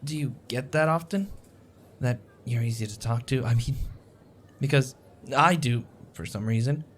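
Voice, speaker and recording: A man plays a sweet, socially anxious young man in a one-sided audio roleplay. His voice sits at a medium pitch and he rambles hesitantly, stammering and putting himself down. Coffee shop ambience runs behind him. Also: moderate pace, a little hiss or hum, natural voice. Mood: shy, chatty. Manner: hesitant, stammering, self-deprecating, apologetic.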